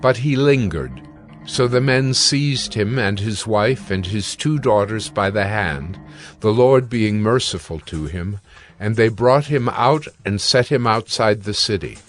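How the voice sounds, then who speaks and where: A man's voice: fairly low pitch, lively intonation, unhurried, soft background music. A man narrating scripture as a scripted dramatized reading.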